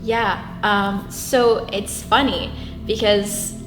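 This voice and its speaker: Young brazil-born woman, portuguese her first language, earnest halting interview talk, lots of restarts, roomy echo, music going underneath. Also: mid-range voice, fairly even intonation. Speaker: guest.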